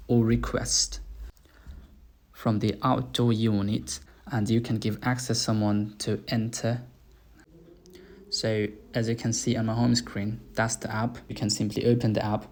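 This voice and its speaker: Slow, even-toned man giving a walkthrough. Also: fairly low pitch. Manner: deliberate, halting phrasing in short segments. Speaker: gate installer presenting his work. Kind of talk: product walkthrough narration.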